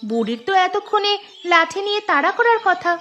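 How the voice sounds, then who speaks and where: A woman's voice: very high-pitched, very animated, brisk, sharp tone, soft background music, heavily acted. A woman voicing a bold, caring young village girl in a cartoon, with an insistent, reassuring way of talking. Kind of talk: cartoon voice acting.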